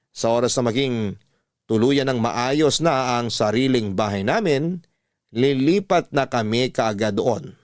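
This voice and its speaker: A man narrating a listener's letter in a radio drama, reading in a steady, measured way. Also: medium pitch, clean tone, no echo, clean studio sound.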